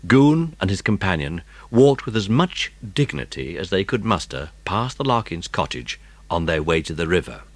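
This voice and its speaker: A man's voice: low-pitched, big pitch swings, moderate pace, no echo. A man narrating a children's story in a dramatised audiobook style.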